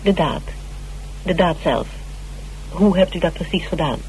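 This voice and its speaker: Actress as a sharp lady investigator, blunt, composed, keeps probing, old radio play with some hum. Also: fairly low pitch, unhurried, lightly acted. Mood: probing, polite. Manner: probing, matter-of-fact. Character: shrewd, persistent woman investigator, blunt and composed. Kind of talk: radio drama acting. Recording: noisy, humming old radio recording.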